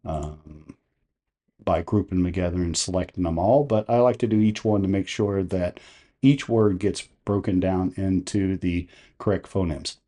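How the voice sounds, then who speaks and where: Casting: male tutorial host, lowish mellow voice, step-by-step instruction. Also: moderate pace. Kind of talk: step-by-step software tutorial narration.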